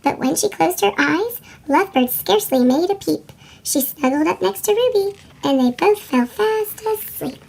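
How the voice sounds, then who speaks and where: A woman reading a children's picture book as storyteller, with a squeaky chipmunk voice effect. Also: expressive, moderate pace, creaky, a little mellow. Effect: sped-up chipmunk pitch shift. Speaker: read-aloud storyteller for children.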